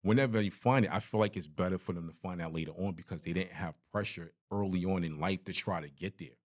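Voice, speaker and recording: A man's voice: sits low, quick pace. A man in his forties hosting a podcast interview, talking in laid-back, slangy banter. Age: 40s. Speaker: podcast host. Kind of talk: casual interview chat.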